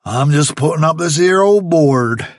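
A man's voice: fairly high pitch, animated, measured pace, dry recording, clean studio sound, voice pushed up. A man voicing a cruel, taunting country man in a broad rural English dialect, reading a storybook. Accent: rural English dialect put on. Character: menacing rustic man, cruel and mocking.

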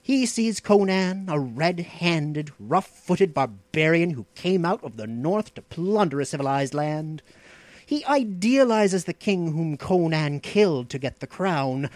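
A man's voice: on the high side, darkish tone, dry recording, voice pushed up. A man voicing an ambitious, scheming outlaw in a fantasy audiobook, with an imperious, mocking, declaiming delivery. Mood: scornful. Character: ambitious, scheming, arrogant male outlaw plotter, impatient master. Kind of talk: dramatic fantasy audiobook dialogue.

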